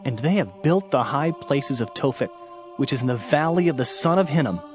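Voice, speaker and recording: A man's voice: medium pitch, lively intonation, fairly quick. A man narrating a dramatized Bible reading in a solemn, emphatic, declamatory manner. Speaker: scripture narrator. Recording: slightly muffled, music underneath.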